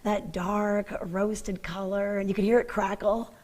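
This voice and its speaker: A woman reporting in the field, guiding an on-location interview with brisk, affirming replies. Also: medium pitch, flat delivery, darkish tone.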